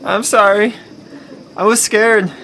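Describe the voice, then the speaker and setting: A man playing a panicked, pleading man in a horror podcast, loud and desperate. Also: high-pitched, fairly even intonation, slow, slight background noise, full character voice, poor audio. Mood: pleading, scared. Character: panicked, pleading young man trapped in web.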